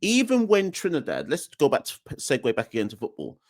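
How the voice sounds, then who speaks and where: A man's voice: a little high, wildly swooping pitch, brisk, a little mellow, dry recording, clean studio sound. A man from Jamaica hosting a cricket podcast in a casual, emphatic way, with lots of fillers and tag questions. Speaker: podcast host. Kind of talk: casual solo podcast talk.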